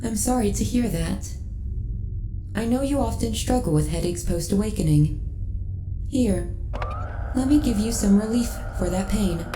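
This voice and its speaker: Woman as a softly mellow, formal ship AI. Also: medium pitch, roomy sound, faint music behind, lightly acted, rough recording. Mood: gentle, polite. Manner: measured, formal, precisely enunciated, soothing. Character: friendly, polite female ship AI, formal and caring. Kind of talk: sci-fi ASMR roleplay.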